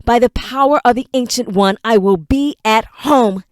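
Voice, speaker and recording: A woman voicing a frightened young man held captive, crying out in high, strained pleas. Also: animated, takes it slowly, no echo, clean studio sound, heavily acted. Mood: pleading.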